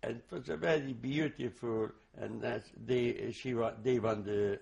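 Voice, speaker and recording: Slow, gravelly older man recalling. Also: mid-range voice, fairly even intonation, darkish tone, muffled recording. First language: Hungarian. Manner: halting, many restarts and fillers. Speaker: interviewee. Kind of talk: oral history testimony.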